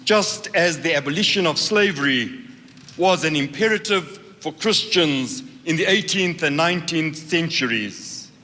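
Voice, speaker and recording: A man with a bright, fairly high voice gives an emphatic, rhetorical speech at a church conference. His voice rings in a reverberant hall. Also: expressive, measured pace. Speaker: church speaker.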